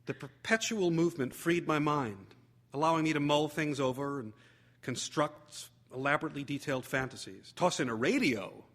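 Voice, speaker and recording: A man reading a humorous memoir aloud as theatrical narration, wry and expressive with deliberate phrasing. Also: on the high side. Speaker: actor reading a short story.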